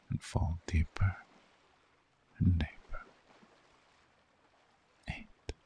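A man voicing a soothing, seductive vampire lover in a slow, hypnotic ASMR sleep roleplay, half-whispering with a breathy, gravelly voice. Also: rumbling low, darkish tone, exaggerated voice. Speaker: ASMR voice actor.

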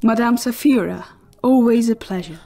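A woman's voice: on the high side, moderate pace, mellow. An actress playing a gracious, self-assured noblewoman in a fantasy audio drama, courteous and frank. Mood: poised, courteous. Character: gracious, self-assured highborn lady seeking a ward.

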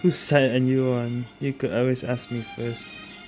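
A man's voice: medium pitch, little pitch movement, unhurried. A man giving casual commentary as he plays through a video game. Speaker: gaming streamer. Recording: game music behind voice.

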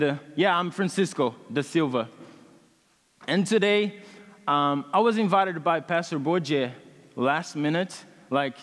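A young man in his twenties with a Brazilian accent, a native Portuguese speaker, giving a personal testimony to a congregation in an earnest, halting way with many fillers, on a headset mic in a large church hall. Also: a little high. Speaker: student speaker.

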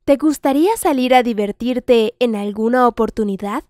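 A woman reading short phrase prompts clearly and evenly. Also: a little high, fairly quick, darkish tone, no room sound, clean studio sound. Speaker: Spanish prompt reader.

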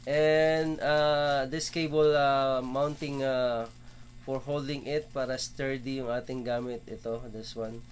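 A middle-aged man with a Filipino accent, casually narrating an unboxing at a table indoors. He talks haltingly, with many fillers, and mixes English with Filipino. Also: medium pitch, little pitch movement, slight background noise. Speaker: motovlog host.

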